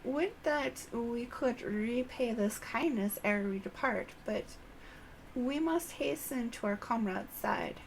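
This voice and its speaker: A woman's voice: a little high, takes it slowly, slight background noise. A woman voicing an earnest, polite young nobleman adventurer from a game in formal, courteous speech. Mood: concerned, courteous. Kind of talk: game dialogue read aloud.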